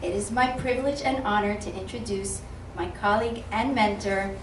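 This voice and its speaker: A woman's voice: medium pitch, moderate pace. A woman emcee reading a formal introduction of a speaker at an event. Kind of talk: read introduction. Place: event hall. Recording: echoey hall from far off, some noise.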